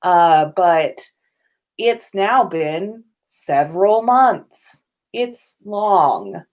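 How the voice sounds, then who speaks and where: A woman's voice: on the low side, sing-song, drawn-out words, a little mellow. A woman hosting a casual livestream from indoors at home, chatting informally with viewers. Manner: informal, chatty, sprinkled with swearing and giggles. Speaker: podcast host on a livestream.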